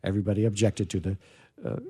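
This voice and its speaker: A man's voice: lowish voice, flat delivery, fast, mellow, slightly rough, no echo. A middle-aged or older man hosting a radio show, chatting casually and enthusiastically in a rambling, self-deprecating way. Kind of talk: casual unscripted event chat.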